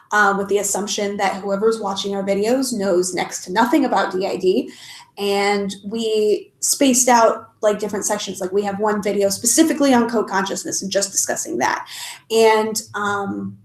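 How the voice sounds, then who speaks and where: A woman's voice: mid-range voice, moderate pace. A young adult woman, stuffed up and sniffly, chatting casually on a live stream and answering questions with many ums and restarts. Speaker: YouTuber answering live chat. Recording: echoey room.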